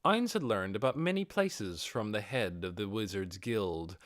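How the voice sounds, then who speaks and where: Male fantasy audiobook narrator, lowish register, animated delivery, dry sound. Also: measured pace, no echo. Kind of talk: scripted fantasy novel narration.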